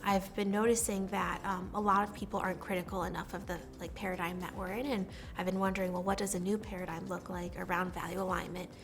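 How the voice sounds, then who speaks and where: A woman's voice: fairly low pitch, little pitch movement, quick pace, few pauses, background noise. A woman giving a reflective testimonial in an interview as a scholarship recipient. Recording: music laid under the voice.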